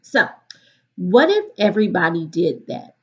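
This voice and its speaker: A woman teacher reading aloud to students in an expressive, instructive storytelling delivery. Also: lowish voice, measured pace, breathy edge. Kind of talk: picture-book read-aloud for a virtual class. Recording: dull, lo-fi recording.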